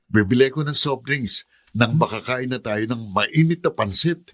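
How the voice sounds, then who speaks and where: A man's voice: medium pitch, normal speed, fairly bright tone, dry recording, clean studio sound, close to an everyday voice. An actor playing a kindly, easygoing older brother, a young working man, speaking casually and apologetically in a radio drama. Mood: friendly, apologetic.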